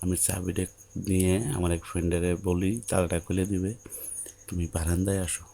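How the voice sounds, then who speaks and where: A man's voice: low-pitched, completely flat delivery, fairly quick, gravelly, warm tone. A man acting an anxious young newlywed husband in an audio drama phone call, hesitant and pleading, with a colloquial Bangladeshi accent. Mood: coaxing, reassuring. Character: anxious newlywed groom, obedient son, soothing his bride.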